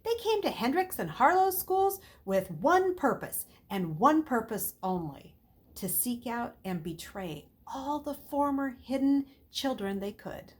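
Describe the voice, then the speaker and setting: A woman's voice: on the high side, very animated, unhurried, voice pushed up. A woman voicing a grim, harsh old male schoolmaster in a storybook, speaking emphatically.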